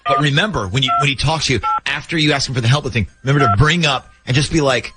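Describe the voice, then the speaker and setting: A man hosting a radio morning show, bantering in a lively way around a prank call. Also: mid-range voice, quick pace. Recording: loud music bed behind voice.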